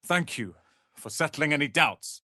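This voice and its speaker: A man's voice: on the high side, lively intonation, a little slow, bright, forceful tone, no room sound, studio quality, full character voice. A man playing a proud young wizard's apprentice in a fantasy video game, speaking in a pompous, articulate, theatrical manner.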